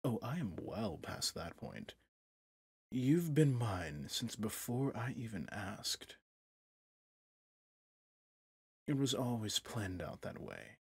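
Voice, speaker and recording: A possessive villain prince played by a man murmurs to his bride in a low, very breathy and husky voice. He is smug and coaxing, tender with menace underneath. It is an intimate ASMR roleplay, recorded close and dry. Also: lively intonation, a little mellow, no room sound, exaggerated voice. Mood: possessive, seductive. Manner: slow, seductive, menacingly tender.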